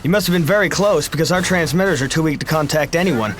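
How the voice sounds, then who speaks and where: A man's voice: fairly high pitch, fast, slight background noise, close to an everyday voice. A man playing an earnest, formal soldier in a sci-fi game, with a stiff military manner. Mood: formal, wary. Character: earnest, formal, high-voiced male soldier. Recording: music behind the voice.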